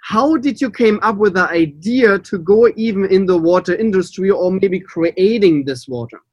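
A man's voice: high-pitched, normal speed, no room sound, lo-fi sound. A man with a German accent, a native German speaker, hosting a podcast in English as a water sommelier, upbeat and chatty. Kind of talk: casual podcast chat.

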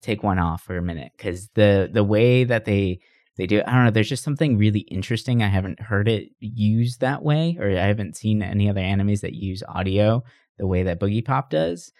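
A man's voice: on the low side, a little fast, a little mellow, no room sound, clean studio sound. A man co-hosting a podcast, chatting casually and giving recommendations in loose, informal phrasing. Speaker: podcast co-host. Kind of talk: casual chat, recommending anime.

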